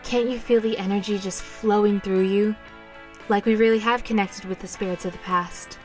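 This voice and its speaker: A woman performs a serene witch in an audio drama. She speaks at a medium pitch with calm affection, over faint music. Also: moderate pace, natural voice. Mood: affectionate. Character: serene, romantic, protective female witch.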